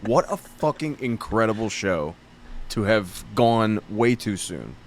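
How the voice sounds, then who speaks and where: A man hosting a podcast in casual chat, excitable and quick to swear and laugh. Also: on the low side, a little hiss or hum. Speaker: podcast host.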